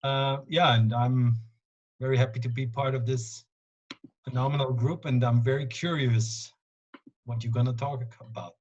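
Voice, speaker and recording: Husky Austrian man on a dull connection. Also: medium pitch, steady tone, normal speed. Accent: Austrian German. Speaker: participant, retail businessman. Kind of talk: self-introduction.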